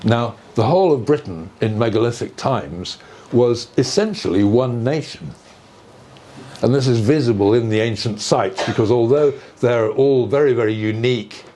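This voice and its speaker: An older man giving an informal explanatory talk in a discursive, conversational way, with hesitant pauses and small asides. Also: medium pitch, dark tone. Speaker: speaker on earth energies and ancient sites. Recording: live talk with clear background noise.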